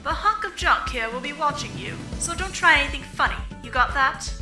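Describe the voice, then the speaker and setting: Woman playing a sneering, high-voiced hostage taker. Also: lively intonation, a little fast. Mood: commanding. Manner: sneering, commanding, taunting. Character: scornful, menacing female hostage taker with a mocking laugh. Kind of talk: fan dub of a courtroom mystery game. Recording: roomy sound with music behind.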